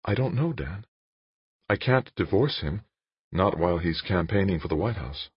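Man voicing an anguished, pleading woman, pitched up. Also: expressive, normal speed, breathy edge, dry recording, dull audio, exaggerated voice. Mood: torn, distraught. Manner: earnest, pleading. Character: adult woman politician, anguished, torn, pleading lover. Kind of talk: audiobook character dialogue.

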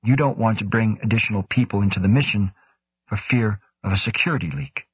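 A man's voice: lowish voice, husky, no echo. A man doing an earnest young scientist. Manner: earnest, quick, protesting.

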